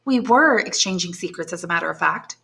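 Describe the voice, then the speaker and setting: A woman's voice: lowish voice, lively intonation, fairly quick, gravelly, fairly bright tone. A woman reading aloud and voicing an anxious young woman in love, earnest and pleading. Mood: anxious, tender. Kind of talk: audiobook character voice.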